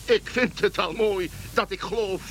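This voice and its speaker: A man's voice: sits high, moderate pace, a bit bright, slight background noise, rough recording. An actor playing a grumpy, sceptical, comfort-loving old king in a radio play, blunt, mocking and sputtering. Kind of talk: Dutch radio play acting.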